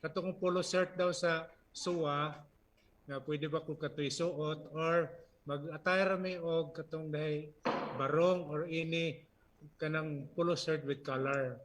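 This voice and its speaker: Higher-voiced Filipino man on a noisy call. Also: fairly even intonation, fairly bright tone, far from the mic. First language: Cebuano. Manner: deferential, halting. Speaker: participant asking questions. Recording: noisy video call line.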